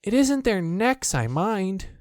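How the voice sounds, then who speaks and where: A man's voice: sits high, sing-song, slow, darkish tone, no echo, clean studio sound, pitched above the performer's own voice, exaggerated voice. A man voicing a small, timid pig in a storybook, with an anxious, earnest manner. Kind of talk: storybook character voice.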